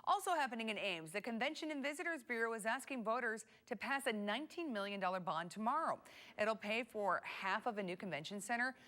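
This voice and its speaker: A woman's voice: a little high, lively intonation, a little fast, bright, forceful tone. A woman with an American accent reading scripted television news as an anchor in a studio.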